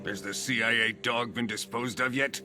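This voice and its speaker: An actor playing a domineering, cruel Soviet military commander in a video game, haughty and commanding. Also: male voice, medium pitch, fairly even intonation, normal speed, over music, lightly acted. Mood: imperious. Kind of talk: video game cutscene acting.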